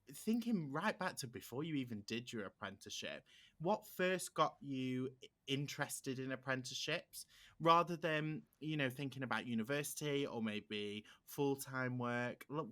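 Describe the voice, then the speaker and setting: A man's voice: mid-range voice, pushed and bright. A man hosting a roundtable interview, upbeat and encouraging as he introduces guests and asks questions. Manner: upbeat, encouraging, fluent presenter. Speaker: panel host.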